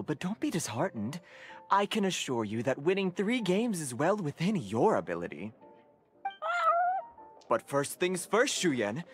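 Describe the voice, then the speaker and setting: A man voicing a genial, enthusiastic, slightly flustered card-game official in a video game, wordy and formal, over music. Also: sits high. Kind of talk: animated game voice acting. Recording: background music.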